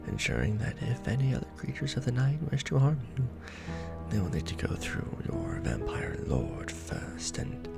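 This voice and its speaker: Casting: male actor as brooding, protective vampire lord for ASMR roleplay; low creaky voice, hushed formal delivery with sweeping intonation. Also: heavily acted. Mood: soothing, protective. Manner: formal, archaic wording, slow and hushed. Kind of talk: ASMR romantic roleplay, soft close speaking.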